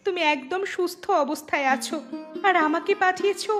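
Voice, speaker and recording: A woman's voice: very high-pitched, brisk. A woman voicing an earnest, principled young village daughter in a cartoon, plaintive in manner. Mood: hurt, reproachful. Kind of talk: animated cartoon voice acting. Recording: music clearly behind the voice.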